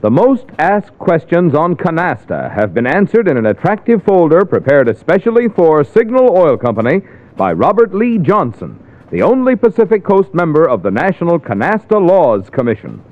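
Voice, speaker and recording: A man's voice: a little high, big pitch swings, normal speed. A man announcing a commercial in polished 1950s radio style, selling hard. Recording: muffled old radio recording.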